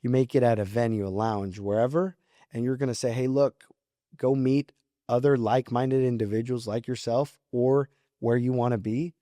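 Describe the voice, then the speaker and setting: A young man in his early twenties with a mellow, relaxed voice, recorded very dry and close. He speaks as a podcast guest in casual, polite, informal explanations. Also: mid-range voice, moderate pace, no echo. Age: early 20s. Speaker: podcast guest, real estate agent. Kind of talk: casual interview talk, explaining his approach.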